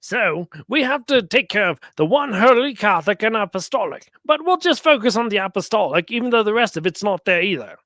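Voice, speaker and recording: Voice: mid-range voice, big pitch swings, a little fast, few pauses, a bit bright, no room sound, studio quality. A commentator giving sarcastic religious reactions to clips, mocking and often acting out imagined exchanges.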